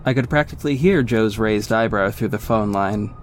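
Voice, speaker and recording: A man's voice: lowish voice, moderate pace, faint music behind, natural voice. A man playing a confident young vigilante who narrates his own exploits in a wry, smug way in an audio drama. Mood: focused, descriptive. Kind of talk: scripted first-person audio drama narration.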